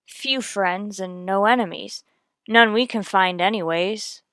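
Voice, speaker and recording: An actress plays a dogged female police detective telling her case for a radio procedural. Her voice is smooth and clean, recorded dry. She keeps it terse, businesslike and matter-of-fact. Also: mid-range voice, a little slow, fairly bright tone, no echo, lightly acted. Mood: guarded, matter-of-fact. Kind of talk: clipped police-procedural radio drama narration.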